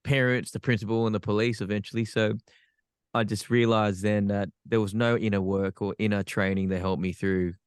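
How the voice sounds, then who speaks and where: A man's voice: fairly low pitch, little pitch movement, a little fast, clear, resonant tone, dry recording, studio quality. An Australian man, a podcast guest, telling his life story frankly with self-deprecating humor. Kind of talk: candid personal storytelling.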